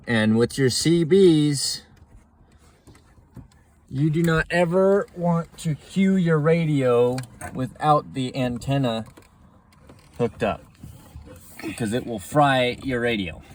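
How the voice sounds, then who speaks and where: A man's voice: mid-range voice, a little hiss or hum. Rambling man grumbling over his gear. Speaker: channel host showing truck repairs. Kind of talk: casual how-to tutorial. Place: inside a truck at night.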